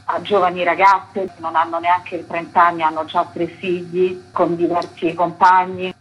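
A woman's voice: lowish voice, a bit bright, a little hiss or hum, rough recording. A woman being interviewed on radio as an author and actress, describing her stage work.

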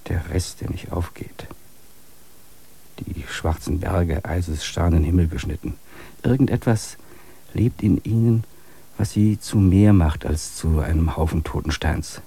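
A man narrating a radio play in a measured, contemplative way. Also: low-pitched, gravelly, mellow, husky.

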